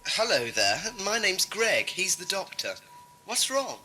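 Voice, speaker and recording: A sarcastic young Earthman companion in a space adventure audio play, played by a man. His voice is bright with a lively, swinging pitch, and he questions everything sceptically. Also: unhurried, faint music behind, exaggerated voice. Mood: concerned. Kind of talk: science fiction audio drama acting.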